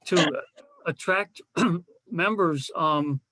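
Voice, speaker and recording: A man talks slowly and tentatively at an informal online meeting. His voice sits a little high, and he often doubles back on words, with very little room sound around him. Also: sharp tone, no echo, low-quality recording. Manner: halting, repeats words, tentative. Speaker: club member in a meeting. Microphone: video call.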